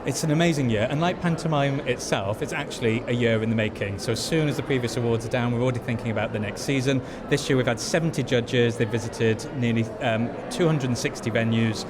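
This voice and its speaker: A man giving earnest, explanatory interview answers at an event venue, stressing points by repeating them. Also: medium pitch. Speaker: head of awards judging. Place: event venue before ceremony. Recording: noisy busy venue ambience.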